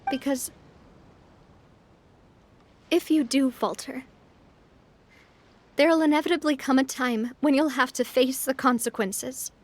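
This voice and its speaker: A woman's voice: sits high, steady tone, a little slow, pauses often. A woman voicing a cheerful, confident young noblewoman who laughs easily and turns wistful when reflecting, in a video game. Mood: reflective. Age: young adult. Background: soft game music.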